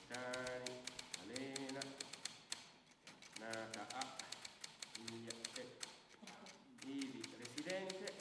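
An actor playing a pedantic, plodding police brigadier taking down a report in a theatrical, bureaucratic manner. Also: male voice, medium pitch, natural voice. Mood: officious dictating. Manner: officious, pedantic, literal-minded, slow dictation voice when recording statements. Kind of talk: theatrical stage acting. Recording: very echoey hall from far off, noisy.

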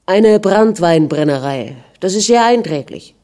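An irritable prince, put on by a woman narrator, talking brusquely and impatiently. The voice sits a little low and sounds dark and mellow. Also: animated, unhurried. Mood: irritated. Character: irritable male prince, curt and dismissive.

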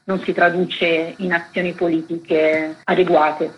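A woman's voice: lowish voice, flat delivery, unhurried, highs cut off. A woman giving explanatory interview answers as a journalist, speaking in an earnest, articulate way with emphasis on key words.

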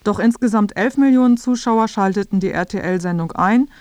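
A woman's voice: mid-range voice, normal speed, a little mellow, no room sound. Woman narrator, dry studio sound. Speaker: feature narrator. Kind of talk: scripted radio documentary narration.

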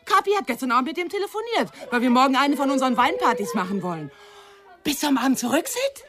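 An actress playing a chatty, excitable young mother with a breezy, gossipy way of talking in a German radio drama. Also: high voice, quick pace. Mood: excited. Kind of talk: German radio play acting.

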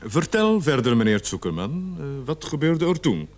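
A man acting in a radio drama as a stern, methodical police interrogator who speaks in a measured, pressing way. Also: on the high side, big pitch swings. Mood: probing, cool.

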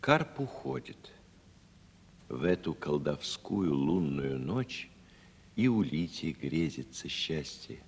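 A man's voice: rumbling low, sing-song, slow pace, big-room sound, slight background noise. A man reading scripted narration for a 1970s Russian radio play.

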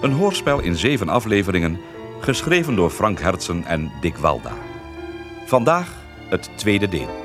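Male radio drama announcer, low pitch, varied intonation, scripted read over music. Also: very animated, moderate pace.

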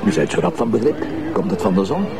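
An actor playing a relaxed, teasing, bossy Flemish police commissioner in a television crime drama, wry and patronising. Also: male voice, on the low side, quick pace, gravelly, crowd noise. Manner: wry, self-satisfied, patronising. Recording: loud music behind, outdoor city street.

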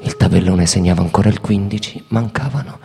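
A man's voice: sits low, fairly quick, gravelly, mellow. A man delivering theatrical scripted narration as a storyteller. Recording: reverberant hall. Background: music.

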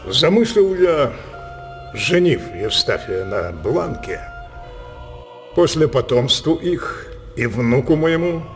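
An actor playing a decadent, aging king who is sensual and imperious, declaiming verse slowly in a radio drama. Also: medium pitch. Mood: imperious, scheming.

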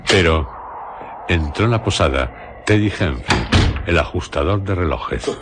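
Very deep-voiced male radio narrator. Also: animated, measured pace, very noisy. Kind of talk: scripted radio drama narration.

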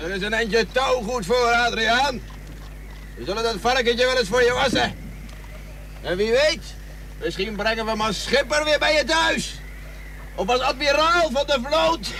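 A woman playing a boisterous, teasing rough sailor in a Dutch radio play. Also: fairly high pitch, a little slow, pauses often, a bit bright, poor audio. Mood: jovial, menacing. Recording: old radio play with background noise.

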